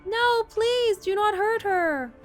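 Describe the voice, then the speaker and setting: A woman's voice: very high voice, expressive, takes it slowly, soft background music, pitched above the performer's own voice, exaggerated voice. A woman playing a young lady-in-waiting in a visual novel, wailing in faked terror with melodramatic flair. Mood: faked panic. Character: frantic young lady-in-waiting faking terror over an abduction.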